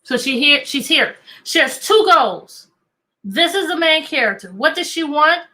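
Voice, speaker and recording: High-pitched, bright-voiced woman reading to kids. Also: a little slow, rough recording. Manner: animated, coaxing, repeats questions to draw answers. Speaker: teacher reading a picture book aloud. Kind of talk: interactive children's read-aloud.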